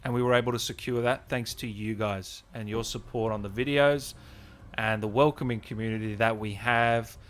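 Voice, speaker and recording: A man's voice: on the low side, fairly even intonation, normal speed, a bit bright. A man hosting a gaming livestream, chatting casually and informally to his viewers in a chummy way. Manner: chummy, informal, addressing viewers as guys and brother. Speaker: host of a gaming channel livestream.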